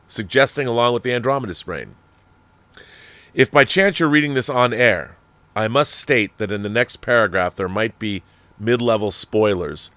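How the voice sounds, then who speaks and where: A man's voice: lowish voice, fairly bright tone, no echo. A man hosting an online talk show, speaking in an emphatic, conversational and opinionated way as he reads and answers viewer mail.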